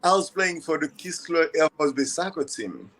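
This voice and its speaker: A man's voice: fairly high pitch, animated, normal speed, a bit bright. An older man from Haiti with a Haitian accent, talking conversationally and emphatically, repeating phrases for effect. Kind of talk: conversational storytelling.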